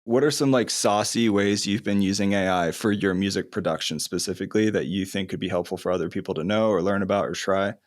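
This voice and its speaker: A man's voice: sits low, fairly even intonation, fast, few pauses. A man hosting a podcast, asking questions in an easygoing, slangy way. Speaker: podcast host. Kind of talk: casual podcast interview.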